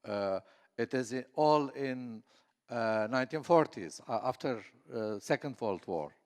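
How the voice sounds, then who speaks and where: Slow, halting man. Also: mid-range voice, lively intonation. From southeast Turkey. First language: Kurdish. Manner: halting, many fillers and restarts. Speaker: conference panelist. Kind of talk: conference panel talk. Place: conference hall. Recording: echoey conference hall.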